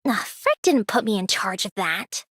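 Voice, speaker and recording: A woman's voice: mid-range voice, very animated, normal speed, fairly bright tone, slightly rough, dry recording, clean studio sound. A woman playing a hot-tempered, boastful self-styled angel girl in an anime game, petulant and theatrical. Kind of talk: scripted anime game dialogue.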